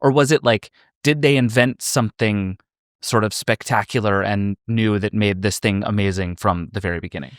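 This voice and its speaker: A man's voice: on the low side, moderate pace, no echo, studio quality. A man hosting a podcast, asking curious, rambling questions in a casual chat. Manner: curious, asks long questions with fillers. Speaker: podcast host.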